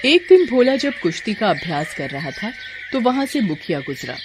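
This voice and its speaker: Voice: medium pitch, very animated, normal speed. A narrator reading a scripted moral story. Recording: clear background noise.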